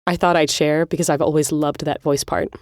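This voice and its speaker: A woman with a low voice presents a scripted music-history podcast. She explains things in an articulate, orderly way, and the recording is very dry with little room sound. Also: flat delivery, fairly quick, darkish tone, no room sound. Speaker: podcast host.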